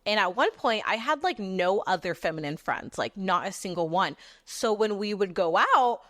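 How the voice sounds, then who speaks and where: A woman in her twenties from Texas hosting a podcast, talking in an animated, casual monologue that moves between a squeaky voice and a lower one, with frequent casual swearing. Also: normal speed, no room sound, clean studio sound. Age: 20s. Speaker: podcast host.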